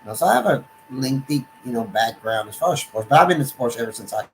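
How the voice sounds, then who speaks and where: A man's voice: medium pitch, animated, a little hiss or hum. Rambling Texan man streaming sports talk. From Texas, USA. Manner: rambling, halting, many restarts and fillers. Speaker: sports channel host. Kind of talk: live-stream casual monologue. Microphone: desktop computer setup.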